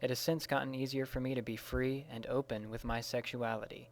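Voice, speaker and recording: A man's voice: medium pitch, level tone, brisk, vocal fry, slight background noise. A man reading a written first-person story aloud. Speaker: reader of personal testimony.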